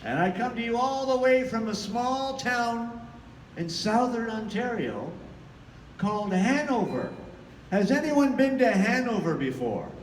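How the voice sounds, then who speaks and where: A man's voice: extremely high pitch, lively intonation, unhurried, slight background noise. A man entertaining a care-home audience indoors with friendly stage banter. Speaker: musical entertainer at care homes. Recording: roomy, distant mic, music behind.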